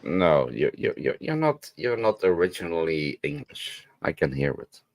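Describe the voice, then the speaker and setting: A man's voice: deep voice, very animated, moderate pace, darkish tone. A man from Belgium streaming live, blunt and argumentative, often repeating himself. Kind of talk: casual live-stream chat.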